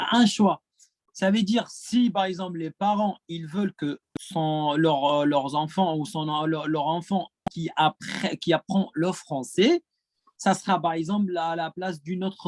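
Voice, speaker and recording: A man's voice: high voice, no echo. Hesitant man with an Arabic accent. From Palestine, Gaza. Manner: hesitant, many repeated words and restarts. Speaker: guest speaker, French teacher. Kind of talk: conference talk answering questions.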